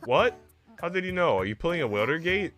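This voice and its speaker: A man's voice: fairly high pitch, lively intonation, a little fast, music in the background, voice pushed up, close to an everyday voice. A man voicing a guarded, grumpy, lovesick working woman with a defensive, hesitant manner in a visual novel. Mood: flustered denial.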